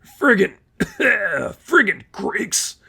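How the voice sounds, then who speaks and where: Man voicing a whiny, queasy drunk young henchman, pitched up. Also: big pitch swings, extremely slow, creaky, sharp tone, breathy edge, exaggerated voice. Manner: heavy breaths, groaning.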